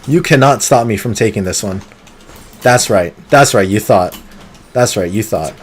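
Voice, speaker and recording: A man streaming a game live, talking through it in an excitable, competitive way, with sudden gasps and pleading. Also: on the low side, moderate pace, breathy edge. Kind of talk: live gameplay commentary.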